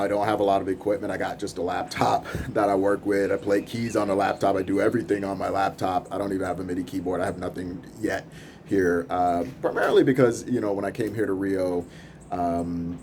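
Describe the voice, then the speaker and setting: Deep voiced guy rambling on camera from his apartment, laid back, bit of city noise behind. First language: English. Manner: rambling, relaxed, laughs between thoughts. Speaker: podcast host and music producer. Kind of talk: casual off-the-cuff vlog. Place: apartment in a big city, filming out over rooftops.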